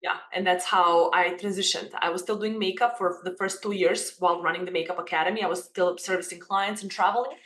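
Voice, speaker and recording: A woman's voice: fairly low pitch, fairly bright tone. A woman in her thirties with a Serbian accent, a makeup academy owner talking openly about her life as a guest in a casual interview over a video call. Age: 30s. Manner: open, earnest, restarts her phrases. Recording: echoey room.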